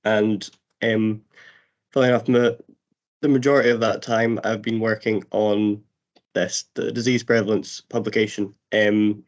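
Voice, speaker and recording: Low flat-voiced man with a cold. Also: moderate pace. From Scotland. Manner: casual, hesitant, many fillers and restarts. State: has a cold. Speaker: analyst presenting in a webinar. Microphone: video call.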